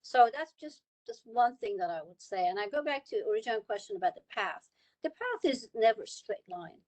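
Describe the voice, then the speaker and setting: A woman's voice: a little high, expressive, a little fast, a little mellow, poor audio. Woman from Iran, panelist on a video call, earnest, hammers points home by repeating words. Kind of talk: panel discussion remarks.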